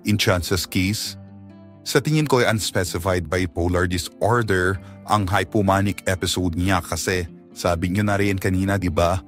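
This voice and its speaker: Brief: male actor as low-voiced doctor, clinical and measured, grave Filipino audio drama, music underneath. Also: fairly even intonation, close to an everyday voice. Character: calm male physician explaining a grave diagnosis.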